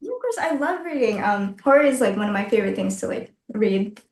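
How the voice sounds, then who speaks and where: Quick-talking woman in an echoey room. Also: medium pitch, animated, darkish tone. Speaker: interview co-host. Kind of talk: casual interview chat. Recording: echoey, roomy sound.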